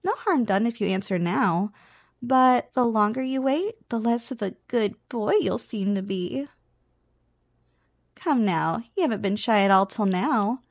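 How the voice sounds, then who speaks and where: Woman acting a teasing, high-voiced slime girl. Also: lively intonation, unhurried, no room sound, studio quality. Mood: playful teasing. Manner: playful, coaxing, intimate address to the listener. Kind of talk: ASMR roleplay.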